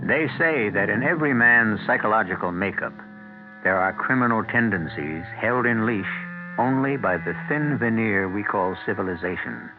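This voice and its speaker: A man playing an ominous, philosophizing radio mystery host, narrating in a measured, grandiose, theatrical manner. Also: on the low side, lively intonation. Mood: grave, philosophical. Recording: muffled 1970s radio recording with music.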